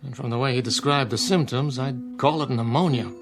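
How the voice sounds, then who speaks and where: A man playing a measured, authoritative small-town doctor. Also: mid-range voice, music in the background, close to an everyday voice. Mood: grave, analytical. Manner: measured, reasoned, articulate. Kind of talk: radio drama acting.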